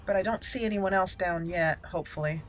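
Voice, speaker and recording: A woman's voice: lowish voice, brisk, loud music behind the voice, low-quality recording. A woman hosting a gaming channel, giving casual roleplay commentary as she plays. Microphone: voice-over over game audio.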